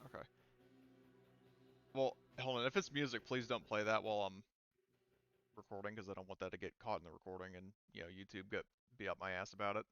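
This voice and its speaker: A man's voice: on the low side, steady tone, fairly quick, long pauses, a bit bright, clear, resonant tone, muffled recording. A man running a tabletop roleplaying game as game master, narrating in a casual, conversational way.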